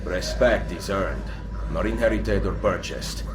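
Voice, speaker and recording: An actor playing a resolute, cool-headed Italian assassin in a video game, measured and commanding, with an Italian accent. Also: male voice, deep voice, over music. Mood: solemn. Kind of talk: video game cutscene acting.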